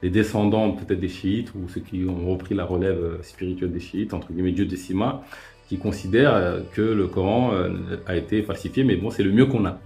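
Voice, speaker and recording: A man hosting a calm live-stream debate, reasoning aloud in a hesitant way with many fillers and restarts. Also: low voice, brisk, faint music behind. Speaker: host. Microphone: remote live-stream call.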